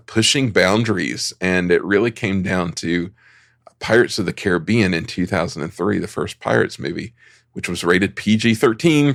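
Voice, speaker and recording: A man's voice: fairly low pitch, vocal fry, a bit bright, dry recording, studio quality. A man giving an informal history talk as a podcast panelist, conversational with dryly wry asides.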